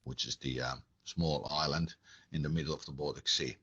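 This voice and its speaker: A man with a Finnish accent giving conversational political analysis in a halting, deliberate way. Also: extremely low pitch, fairly even intonation, bright, forceful tone, breathy edge, dull audio. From Finland. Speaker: interview guest, academic commentator.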